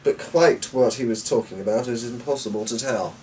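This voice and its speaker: A man giving a measured, theatrical dramatic reading of a short story as a storyteller. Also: mid-range voice, a little mellow, distant mic, slight background noise, low-quality recording.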